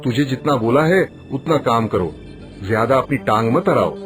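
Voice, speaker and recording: A man's voice: mid-range voice, expressive, normal speed, over music, lightly acted. A man playing a greedy, jealous and scheming village street-food seller in a cartoon story. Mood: irritated, bossy. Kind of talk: Hindi cartoon story voice acting.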